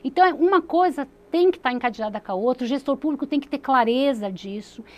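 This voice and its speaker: A woman's voice: sits high, expressive, quick pace, darkish tone. A woman, a city secretary explaining her views in an earnest studio interview, with many fillers and restarts. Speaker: municipal secretary, interview guest.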